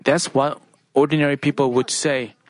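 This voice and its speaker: A preacher delivering a measured sermon. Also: medium pitch, little pitch movement. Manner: measured, deliberate delivery with frequent self-corrections.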